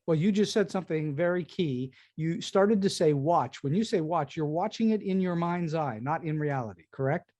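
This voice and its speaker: A man's voice: on the high side, moderate pace, hardly any pauses, a little mellow. A man hosting a conversational interview, probing and reflective, restating what he hears as he asks questions. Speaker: podcast host, interviewer.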